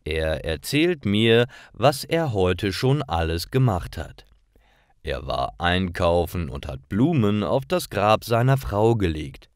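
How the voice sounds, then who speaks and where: A man reading scripted stories aloud for language learners in a careful, deliberate manner. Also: deep voice, expressive, clear, resonant tone, no echo, clean studio sound.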